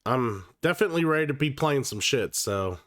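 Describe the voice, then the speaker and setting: Male streamer, under the weather, slightly nasal, mid-pitched, loose profane chatter, dry close mic. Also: lively intonation, a little fast, a bit bright, no room sound. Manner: casual and profane, with many fillers and restarts. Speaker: livestream host. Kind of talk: casual livestream chat.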